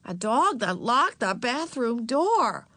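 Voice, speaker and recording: A woman's voice: on the high side, sing-song, takes it slowly, bright, forceful tone, no room sound, voice pushed up, heavily acted. Woman playing an exasperated big-sister schoolgirl. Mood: thinking, annoyed. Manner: exasperated, impatient.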